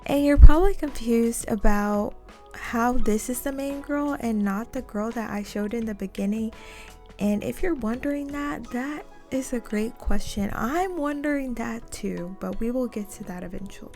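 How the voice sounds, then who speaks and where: Mellow, creaky-voiced woman VTuber. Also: medium pitch. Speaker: VTuber host. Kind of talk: scripted webtoon review narration with casual asides.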